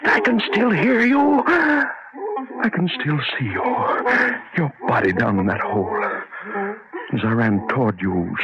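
An actor playing an anguished, guilt-ridden man losing his mind, in a melodramatic, halting radio horror monologue. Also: mid-range voice. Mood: frantic, crying out. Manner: melodramatic, halting, haunted delivery. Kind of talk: 1940s-style radio horror monologue acting. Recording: muffled, noisy old radio recording.

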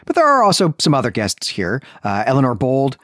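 Animated man recapping a story. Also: medium pitch, brisk, dry recording, studio quality. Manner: explanatory, often checks in with "right". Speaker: podcast co-host. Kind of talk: literary recap, retelling a story conversationally.

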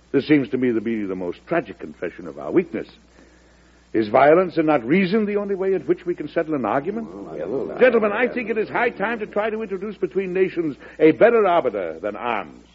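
Earnest president, widely swinging voice. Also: male voice, a little high, husky. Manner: measured, oratorical, courteous. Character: earnest, resolute American president, idealistic statesman. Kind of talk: old-time radio drama acting. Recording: dull vintage radio recording.

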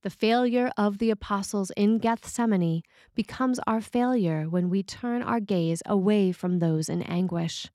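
A woman priest reading a scripted sermon in a measured, formal way. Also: medium pitch, mellow, no room sound, clean studio sound.

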